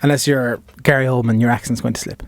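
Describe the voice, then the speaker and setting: A man co-hosting a podcast, chatting quickly and casually. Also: mid-range voice, fairly even intonation, no echo. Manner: quick, chatty, quotes others with a laugh. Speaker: podcast co-host. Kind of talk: casual chat.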